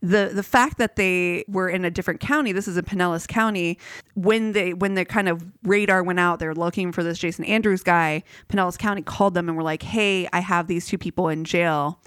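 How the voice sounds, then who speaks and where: A woman's voice: on the low side, a little fast, smooth, dry recording, clean studio sound. A woman co-hosting a casual podcast, recounting a true crime case with frequent swearing and quoted voices. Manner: swears freely, animated retelling with quoted voices. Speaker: podcast co-host telling a true crime story. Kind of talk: casual storytelling chat.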